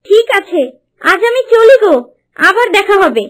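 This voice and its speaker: A woman's voice: extremely high pitch, lively intonation, normal speed. A woman playing a kind, helpful fairy with a sweet manner in a Bengali fairy-tale cartoon. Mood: friendly. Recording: faint music behind the voice.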